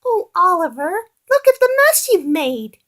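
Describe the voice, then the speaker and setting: A woman voicing a young female pig in a storybook reading, high and emphatic. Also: very animated, unhurried, fairly bright tone, full character voice. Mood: scolding. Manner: high, sing-song and emphatic. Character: young female pig, fussy yet kind.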